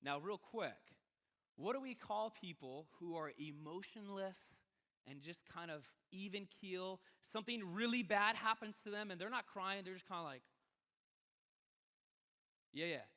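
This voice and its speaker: A male pastor delivers a sermon in a high-pitched, bright and forceful voice. His manner is conversational yet emphatic, leaning on rhetorical questions. The sound carries the long reverb of a big hall. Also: animated, unhurried.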